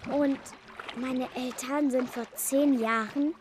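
A woman's voice: high voice, steady tone, slow pace, a little hiss or hum. A female performer playing a cheeky, brave young pirate girl in a children's radio play. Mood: astonished. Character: cheeky, brave pirate girl, about ten years old.

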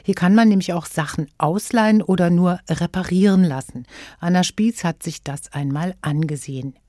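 A female news anchor presenting segments in a measured, formal manner. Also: lowish voice, mellow, no room sound, clean studio sound. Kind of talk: scripted studio presentation.

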